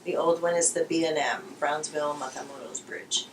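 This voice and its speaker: A woman volunteer giving a documentary interview, talking in a blunt, deliberate way. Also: deep voice, fairly even intonation, reverberant. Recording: distant mic with faint noise.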